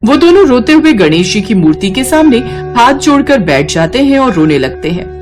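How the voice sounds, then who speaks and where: Woman storyteller with lively, expressive delivery. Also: fairly high pitch, normal speed, music in the background. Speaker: narrator. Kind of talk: expressive scripted storytelling narration for devotional moral tale.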